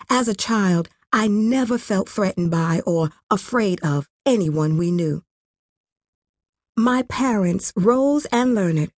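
A woman's voice: mid-range voice, darkish tone, dry recording, clean studio sound. A woman from Rwanda reading her own memoir as scripted audiobook narration.

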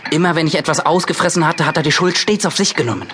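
A man's voice: a little high, quick pace, noisy background, lightly acted. An actor playing an earnest, concerned young man, a younger brother, in an audio drama. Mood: worried, disappointed. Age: young adult. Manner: earnest, troubled. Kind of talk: German audio drama acting.

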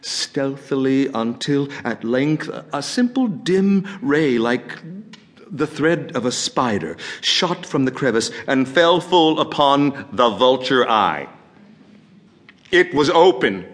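An obsessive madman confesses his crime with feverish glee. His mid-pitched voice is theatrical and halting, lingering on words and repeating them. It is delivered as a gothic horror monologue. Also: lively intonation, a bit bright. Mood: stealthy, menacing. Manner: theatrical, halting, with drawn-out words and stammered repetitions.

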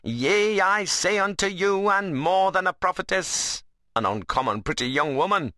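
A man's voice: sits high, lively intonation, a little slow, pushed and bright, dry recording, clean studio sound, voice pushed up, full character voice. A man voicing a wiry, sarcastic young workman in an audiobook novel, mocking and needling in a dialect. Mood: teasing, sarcastic.

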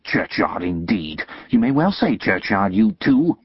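A man voicing a harsh, scolding blacksmith's wife in a storybook reading, loud and shrill. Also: big pitch swings, a little slow, husky, dry recording, heavily acted. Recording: muffled lo-fi recording.

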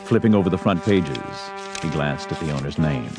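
A man's voice: rumbling low, lively intonation, normal speed. A man narrating a Western story as a scripted audio drama. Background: music.

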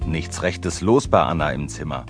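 A man calmly narrating a scripted children's story. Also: rumbling low, moderate pace. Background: music.

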